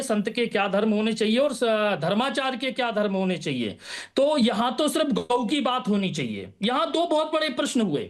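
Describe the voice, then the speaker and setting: High voiced religious guy on a tv panel, pushy, keeps talking over people. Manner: insistent, interrupting. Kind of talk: heated TV debate.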